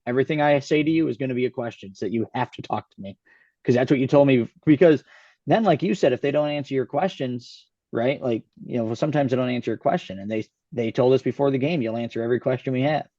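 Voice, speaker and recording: A man from Michigan, a guest basketball coach, explaining his approach calmly and agreeably. His mid-pitched voice comes through slightly muffled and dull. The sound is dry, with no room echo. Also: quick pace. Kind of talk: casual podcast chat.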